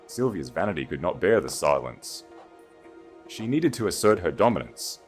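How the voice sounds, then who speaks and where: A man's voice: low voice, sing-song, moderate pace. A man reading a scripted dramatic story as an audiobook narrator. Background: faint music.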